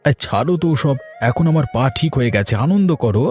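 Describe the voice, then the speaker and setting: A man voicing an easygoing village gentleman and husband in a cartoon story, expressive and placating. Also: mid-range voice, brisk, faint music behind, lightly acted.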